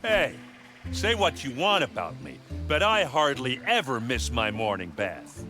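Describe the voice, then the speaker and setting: A man's voice: mid-range voice, very animated, measured pace, music in the background. A man playing an affable, easily indignant tinkerer and cook in a comedic video game. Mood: defensive.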